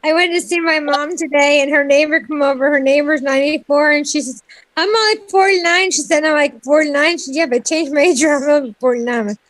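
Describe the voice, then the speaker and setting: High-voiced woman in her fifties, dry teasing. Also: fast talker, fairly bright tone, dry recording, poor audio. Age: 50s. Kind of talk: casual chat.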